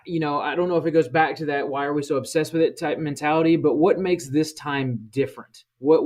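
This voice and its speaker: A man's voice: medium pitch, a little mellow. Podcast host guy asking long rambly questions, normal mid voice. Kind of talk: podcast discussion.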